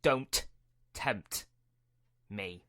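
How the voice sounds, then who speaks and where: A man playing a scheming, imperious Sith lord in a Minecraft roleplay, speaking in halting, deliberate phrases. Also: fairly low pitch, pushed and bright, dry recording, clean studio sound, full character voice. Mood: menacing. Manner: halting, deliberate, with drawn-out pauses. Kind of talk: hobby Minecraft roleplay acting.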